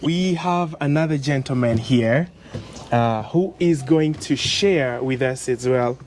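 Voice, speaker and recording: A man's voice: medium pitch, slow, darkish tone. A man interviewing guests in a casual talk, encouraging them with short affirmations. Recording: faint background noise.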